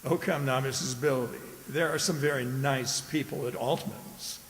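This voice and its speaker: A man playing an urbane, condescending gentleman with quiet menace in a radio drama, genteel and precise in speech. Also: mid-range voice, fairly even intonation, normal speed, lightly acted. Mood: genteel, affable. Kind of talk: theatrical radio drama acting. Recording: echoey hall, hissy background noise.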